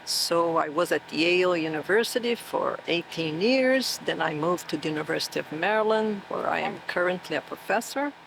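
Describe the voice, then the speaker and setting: A woman's voice: fairly low pitch, normal speed, vocal fry, few pauses, slight background noise, low-quality recording. A woman in her sixties from Brazil, with a Brazilian Portuguese accent, thoughtfully answering interview questions as a professor and often checking in with "right?". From southern Brazil. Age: 60s. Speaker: cell biology professor. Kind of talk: oral history interview answers.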